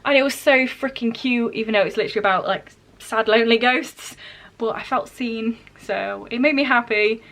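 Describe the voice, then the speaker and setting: High-voiced woman, chatty and informal. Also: moderate pace, a bit bright. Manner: informal, self-deprecating, dry asides, mild swearing. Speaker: book vlogger. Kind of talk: casual chatty vlog to camera.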